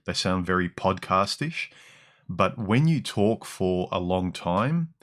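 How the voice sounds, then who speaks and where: Aussie guy with a real low voice, bit harsh, rambling livestream chat full of ums. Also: lively intonation, takes it slowly, a bit bright. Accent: Australian. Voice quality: deep voice with some harshness in the mids and lows. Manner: rambling, with frequent ums and restarts. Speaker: livestream host. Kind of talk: casual livestream chat reading comments. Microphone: dynamic broadcast mic.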